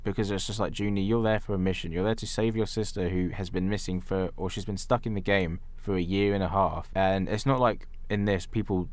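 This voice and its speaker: A man with a low, deep voice talks casually as a film podcast co-host. He is blunt and incredulous, complaining in loose conversational sentences and swearing now and then. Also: steady tone, fairly quick, few pauses, a little mellow.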